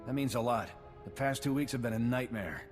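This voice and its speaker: A man's voice: medium pitch, a little fast, soft background music, lightly acted. A man playing an earnest working shuttle pilot in a video game, talking in a casual, clipped, folksy way. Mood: worried, plucky. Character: earnest, awed working shuttle pilot, worried but plucky. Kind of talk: scripted video game acting.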